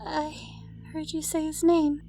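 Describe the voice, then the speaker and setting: A woman playing a grieving, newly turned vampire mother in an audio drama, pleading in a hesitant, halting way. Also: high voice, level tone, vocal fry, warm tone, soft background music. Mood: wary, earnest. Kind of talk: cinematic audio roleplay acting.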